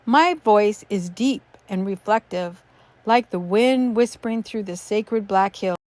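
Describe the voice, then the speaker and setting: A calm, reflective elder guide speaking slowly and solemnly, as a woman voices him. Dry, close sound. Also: medium pitch, dry recording, lightly acted. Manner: slow, solemn.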